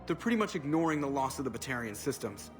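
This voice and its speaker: A man's voice: medium pitch, very animated, quick pace, natural voice. A man playing a wisecracking, sarcastic starship pilot in a video game, with a casual, sardonic way of talking. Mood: wry. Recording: background music.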